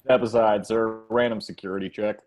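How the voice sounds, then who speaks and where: A man playing an officious, unflappable security guard in a screenplay reading, with a flat procedural manner. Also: fairly low pitch, a little fast, lightly acted.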